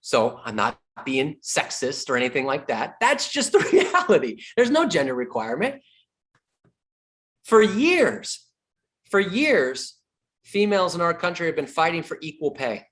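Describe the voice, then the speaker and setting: A man's voice: a little high, sing-song, a little slow, fairly bright tone. A middle-aged man giving a sales training talk as a real estate coach, emphatic, repeating his key points and joking with the audience.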